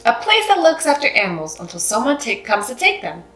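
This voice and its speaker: A woman voicing a kindly, bedridden hospice resident in a storybook, gentle, curious and a little halting. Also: fairly high pitch, very animated, soft background music, pitched above the performer's own voice, heavily acted. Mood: gently curious. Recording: roomy, echoing sound.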